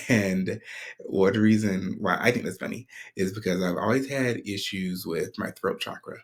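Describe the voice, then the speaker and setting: A man hosting a spiritual podcast in a casual, conversational way, clearing his throat often while his voice recovers. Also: low-pitched, a little slow. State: recovering from a sore voice. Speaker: podcast host.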